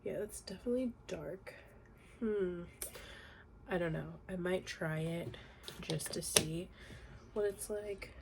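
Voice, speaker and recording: A woman's voice: on the low side, slow pace, gravelly, pauses often, noisy background. A young woman with a congested, nasal voice from a cold, chatting casually in a makeup tutorial at home. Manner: chatty, relaxed, many fillers. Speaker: beauty vlogger. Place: home, indoors.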